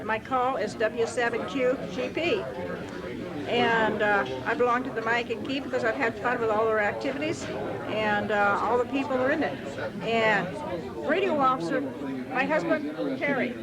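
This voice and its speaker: A woman introducing herself at a club banquet, cheerful and a little halting. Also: fairly high pitch. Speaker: amateur radio club member. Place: crowded banquet hall. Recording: loud banquet crowd noise.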